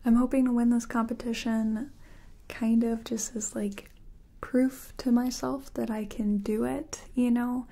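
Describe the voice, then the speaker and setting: Woman, soft-spoken ASMR makeup artist roleplay: breathy whisper with gravelly fry, hesitant, murmured delivery. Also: mid-range voice.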